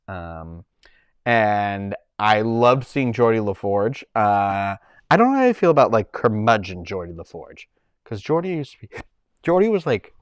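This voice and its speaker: A man's voice: medium pitch, dry recording, clean studio sound. A man with a Boston accent, hosting a casual livestream from home and chatting in a rambling way while he reads the chat. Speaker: livestream host.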